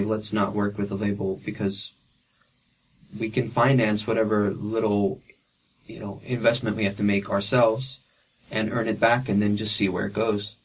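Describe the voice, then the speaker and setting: A man's voice: on the low side, far from the mic, rough recording. A young man and independent musician answering interview questions frankly, with plenty of fillers and restarts.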